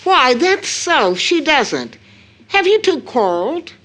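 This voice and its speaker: An actress playing a caring, proper middle-aged mother in an audio drama of an early 1900s play, with an earnest, measured manner. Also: high voice, big pitch swings, fairly bright tone, exaggerated voice. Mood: earnest, concerned.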